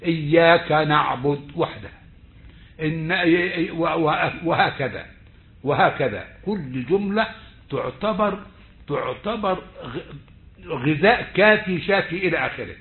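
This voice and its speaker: A male lecturer with an Egyptian accent giving a slow, deliberate online lecture, often stretching words and restarting his phrases. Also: a little high, animated. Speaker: university lecturer. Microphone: computer mic on a video call. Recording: tinny phone-like call audio.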